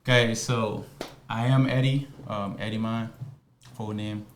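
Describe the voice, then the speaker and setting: Man from Liberia as a podcast guest, halting with ums, recorded in a roomy space. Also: on the low side, fairly even intonation, slight background noise. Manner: hesitant, many ums and restarts. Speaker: interview guest. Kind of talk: casual interview chat.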